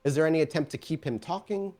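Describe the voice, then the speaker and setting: A man's voice: fairly high pitch, fast, dark tone. A man running a tabletop game, improvising narration and explaining rules as game master.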